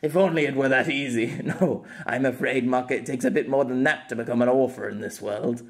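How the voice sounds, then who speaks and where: A man's voice: medium pitch, fairly even intonation, brisk, creaky, lightly acted. A man playing an awkward, good-natured male storyteller explaining himself in an audiobook, hesitant and rambling. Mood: reflective.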